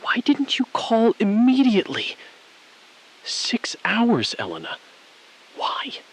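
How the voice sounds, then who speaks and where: Guy doing stressed ground control man in audio drama, guilt all over him, stammery, high voice that jumps around a lot. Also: wildly swooping pitch, breathy edge, heavily acted, rough recording. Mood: tense. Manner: halting, pleading, trails off mid-sentence. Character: anxious, guilt-ridden male ground controller under orders. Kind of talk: scripted audio drama, dramatic phone-call acting.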